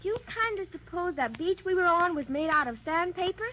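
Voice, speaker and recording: A performer playing an earnest, curious little girl, gentle and polite, in 1930s children's radio serial style. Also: very high voice, animated, brisk, slight background noise. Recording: muffled 1930s radio transcription recording.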